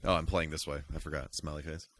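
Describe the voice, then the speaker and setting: A man hosting a casual, rambling game livestream, animated and emphatic, repeating himself for emphasis. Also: rumbling low, quick pace. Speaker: live streamer and host. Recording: music playing behind the voice. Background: music.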